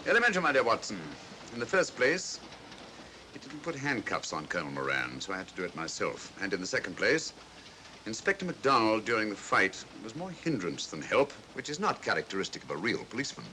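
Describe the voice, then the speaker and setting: Over a noisy vintage soundtrack comes a brilliant, self-assured detective. He explains things urbanely and wryly, in quick speech with a lively, swooping pitch. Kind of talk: 1940s film mystery acting. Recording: noisy old film soundtrack.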